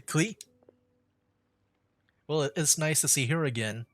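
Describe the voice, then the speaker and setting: A woman streamer giving casual, halting commentary as she thinks aloud during a game. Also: low voice, dry recording. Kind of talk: casual live gameplay commentary.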